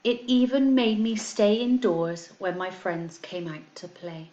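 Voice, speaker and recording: An echoey recording of a woman with a mid-pitched voice, speaking as a primary teacher to young pupils. She delivers her words in an expressive storytelling style, leaning on key words and keeping things simple and clear for children. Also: measured pace, muffled recording. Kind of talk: children's story read aloud, addressing pupils. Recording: echoey, roomy sound.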